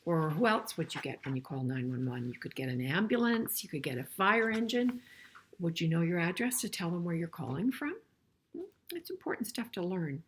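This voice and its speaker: An older woman reading a picture book aloud to children in an expressive way, pausing to chat and ask them questions. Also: fairly low pitch, husky. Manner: expressive, asking listeners questions as she goes. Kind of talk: children's storybook read-aloud with conversational asides and questions.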